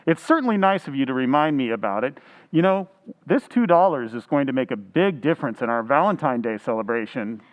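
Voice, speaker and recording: A man's voice: medium pitch, expressive, a little fast, muffled recording, natural voice. A man playing a naive, frugal, earnest bachelor in old-time radio comedy style, speaking with fussy precision. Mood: earnest, oblivious.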